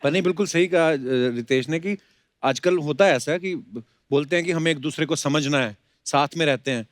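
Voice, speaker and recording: High-pitched man hosting a comedy talk show. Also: fairly quick, no room sound, studio quality. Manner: teasing, joking. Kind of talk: bantering comedy interview in Hindi mixed with English.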